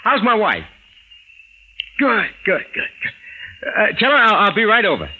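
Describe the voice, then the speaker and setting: An actor playing a stunned, excitable everyman husband in a 1940s radio drama, stammering with bewilderment. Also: sits high, moderate pace, husky, phone-quality sound, full character voice. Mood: flustered. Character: excitable, stunned everyman husband telling his incredible story. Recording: noisy vintage radio recording.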